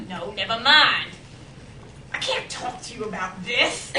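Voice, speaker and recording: Woman playing a high-voiced, flustered old lady. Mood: flustered, refusing. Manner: halting, blunt, easily flustered. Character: reluctant, embarrassed elderly woman recalling her youth. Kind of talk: theatrical monologue performed on stage. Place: performance room, recorded from the back. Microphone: camera at back of room. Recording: roomy hall, distant mic, noisy camera audio.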